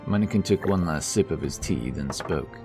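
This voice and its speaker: A man narrating a fantasy audio story in a steady, low-key way. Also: moderate pace, music in the background.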